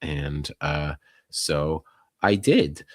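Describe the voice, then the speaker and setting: Husky-voiced man, very deep, dry sound. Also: animated, very slow, dry recording. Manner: laid-back, rambling with self-deprecating jokes. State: hoarse, recovering from illness. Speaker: podcast co-host, local news writer. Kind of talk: casual podcast chat.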